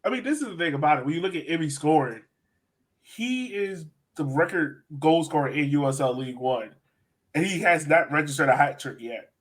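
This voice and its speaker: A man's voice: on the high side, animated, brisk. A man hosting a sports podcast, chatting casually and emphatically about soccer in an informal way. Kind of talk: casual chat, soccer analysis.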